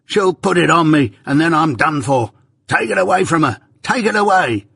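A man's voice: medium pitch, normal speed, fairly bright tone, husky, no room sound, clean studio sound. A man voicing a dying, ghost-haunted male convict who raves in frightened rustic dialect. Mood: raving terror. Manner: terrified, raving pleas in rustic dialect.

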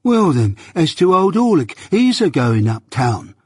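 A man voicing a surly, jealous journeyman blacksmith with a gruff growl and grudging rustic speech, in a storybook reading. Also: medium pitch, animated, a little slow, no echo, clean studio sound, in a large hall. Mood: aggrieved. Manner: rustic dialect, grudging and argumentative.

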